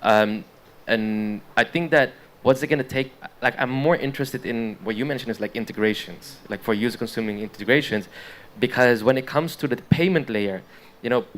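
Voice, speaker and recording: A man's voice: mid-range voice, fairly bright tone. A man from the Netherlands speaking on a conference panel, hesitant, with many restarts and fillers.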